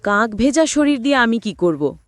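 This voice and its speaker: A woman's voice: a little high, sing-song, normal speed, soft background music. A woman reads a young man in an audio story, a gloomy older brother. He answers in a brusque, doubting way, and the sound is dry with no room echo. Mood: bemused, dismissive.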